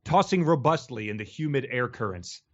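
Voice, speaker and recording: Male podcast co-host, mid-pitched, casual and wry, halting delivery with mid-thought rephrasing. Muffled, lo-fi sound. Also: animated, fairly bright tone. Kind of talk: casual chat, comic book discussion.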